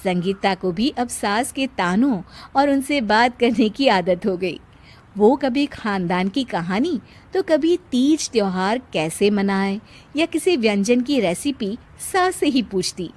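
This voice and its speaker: A woman's voice: on the high side, animated, measured pace, darkish tone. A woman narrator telling a scripted comic moral story.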